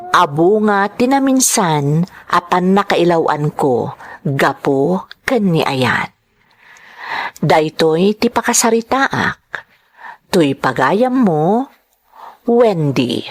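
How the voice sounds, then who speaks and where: A woman narrating a scripted drama from a letter, with expressive, measured storytelling. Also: on the low side.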